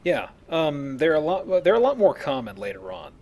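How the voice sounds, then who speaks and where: A man's voice: on the high side, sing-song, moderate pace, darkish tone. A man hosting a casual Let's Play, explaining the game and joking as he goes. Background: video game sounds.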